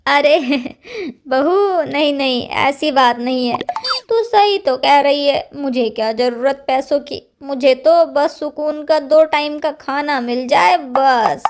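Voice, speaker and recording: A woman playing a meek, high-voiced elderly mother-in-law. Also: slow pace, a little hiss or hum. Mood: meek, hesitant. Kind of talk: acted dialogue in an animated moral story.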